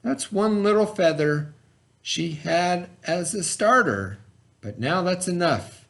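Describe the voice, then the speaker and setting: A man with a fairly high voice reads a rhyming children's story aloud. He takes his time with dramatic pauses, and his pitch rises and falls widely in a theatrical way. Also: lively intonation, creaky, fairly bright tone. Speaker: storybook reader.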